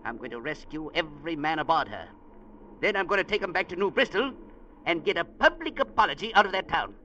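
Blustering, high-pitched old-time radio sea captain. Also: male voice, very animated, a little fast, sharp tone, dull audio. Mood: stubborn, scheming. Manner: blustering, barking orders. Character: cocky, hot-tempered, stubborn small sea captain with grown daughters. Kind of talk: 1940s radio drama acting. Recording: noisy old radio drama recording.

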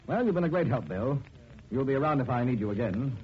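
An actor playing an urbane, wry, unflappable gentleman detective, polite and suave, in a 1940s radio drama. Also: medium pitch, lively intonation, fast talker, close to an everyday voice. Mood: cool, wry. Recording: tinny, noisy 1940s radio recording.

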